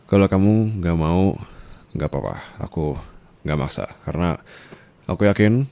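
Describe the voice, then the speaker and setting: A man playing a dominant, teasing yet caring sugar-daddy husband in an intimate ASMR roleplay, murmuring and slipping into whispers. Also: expressive. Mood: playful, reassuring. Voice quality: very deep, gravelly, husky. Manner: slow, teasing, soft murmurs dropping into whispers.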